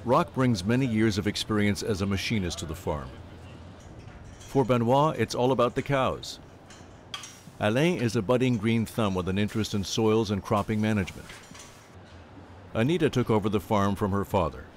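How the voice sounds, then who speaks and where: Low-voiced male documentary narrator. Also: normal speed, pauses often, slight background noise.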